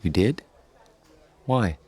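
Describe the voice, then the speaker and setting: An actor playing a shy, lonely, gentle mother's boy in a radio drama, hesitant, stammering and apologetic. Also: lowish voice, animated, very slow, slightly rough, dry recording, heavily acted. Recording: radio play with soft music underneath.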